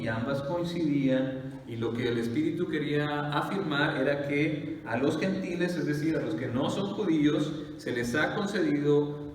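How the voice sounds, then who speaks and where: Pastor preaching, lots of "eh"s, sounds far off in a big echoey church. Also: male voice, a little high, slight background noise. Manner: conversational preaching with frequent "eh" fillers and rhetorical questions. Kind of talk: sermon.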